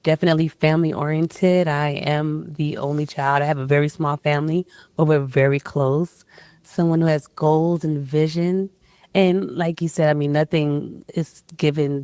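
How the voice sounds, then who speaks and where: A woman's voice: deep voice, steady tone, normal speed, mellow, no room sound. A woman on a dating show describing herself in a casual interview.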